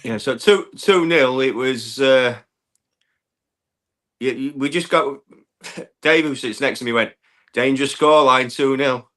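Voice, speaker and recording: A man with a hoarse voice, chatting casually as a fan calling in to a football show. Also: medium pitch, slow pace, long pauses, a bit bright. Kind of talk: casual chat.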